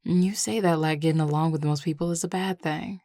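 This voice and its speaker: Flirty Southern US werewolf played by a woman, low-pitched, drawling and affectionate, close dry sound, intimate audio roleplay. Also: steady tone, brisk, warm tone, dry recording. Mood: wistful, smitten. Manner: drawling, teasing, sighing, casual and affectionate. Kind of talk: intimate audio roleplay, one-sided conversation.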